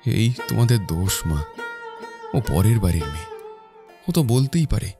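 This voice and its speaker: A man's voice: lowish voice, sing-song, measured pace. A man playing a stern but remorseful grown son and husband in a melodramatic cartoon. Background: music.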